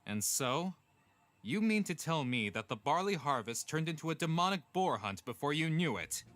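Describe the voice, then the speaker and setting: A man's voice: fairly high pitch, very animated, normal speed, fairly bright tone, dry recording. A man acting a haughty, imperious ancient king in a comic dub, pompous and condescending in his delivery. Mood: haughty, skeptical.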